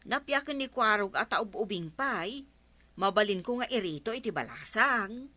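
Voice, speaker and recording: A woman's voice: medium pitch, lively intonation, normal speed, bright, forceful tone, dry recording. A woman playing a bickering, unashamed single village councilwoman in an Ilocano radio comedy drama. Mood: defensive.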